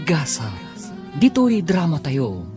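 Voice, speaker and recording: A man's voice: fairly high pitch, wildly swooping pitch, slow. A man narrating a radio serial in a dramatic announcing style. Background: music.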